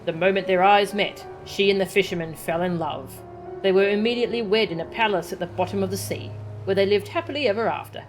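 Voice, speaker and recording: A woman voicing an old male storyteller who loves telling tales, read aloud from game dialogue in a steady narrating tone. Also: medium pitch, quick pace, faint music behind, close to an everyday voice, low-quality recording. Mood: storytelling.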